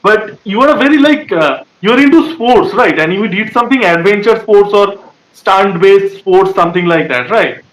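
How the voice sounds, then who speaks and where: Man from India, interviewer, chatty, repeats questions, high voice, roomy echo. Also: lively intonation, normal speed, a bit bright. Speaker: interviewer host.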